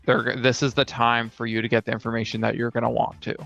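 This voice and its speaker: A man's voice: mid-range voice, completely flat delivery, quick pace, gravelly, fairly bright tone, highs cut off, soft background music. A man co-hosting a casual talk about tabletop games as a game master, thinking aloud as he goes. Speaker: podcast co-host and game master.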